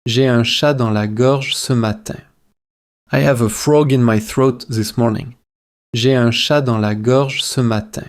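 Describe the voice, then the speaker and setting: Slow, measured man reading drills. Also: medium pitch, steady tone. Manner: measured, repetitive phrase-by-phrase delivery. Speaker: language teacher.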